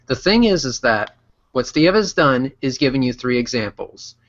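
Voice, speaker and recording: A man's voice: mid-range voice, sing-song, normal speed, a bit bright. A man on an online debate panel, arguing bluntly and scolding with plenty of swearing. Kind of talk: heated argument. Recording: dull, lo-fi online call audio.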